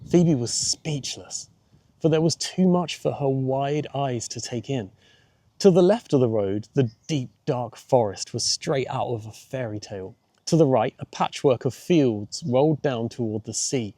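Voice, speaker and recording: A man's voice: mid-range voice, unhurried, dark tone, no room sound. A man reading a children's audiobook as its narrator, in scripted storytelling style.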